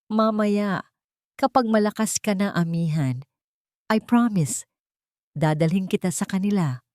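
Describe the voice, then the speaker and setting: A woman's voice: mid-range voice, a little slow, a little mellow, dry recording, clean studio sound, voice pushed up, natural voice. Woman voicing a tender, remorseful rich Filipino man. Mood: earnest promise. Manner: tender, earnest, broken-voiced. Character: remorseful, protective wealthy young father in love.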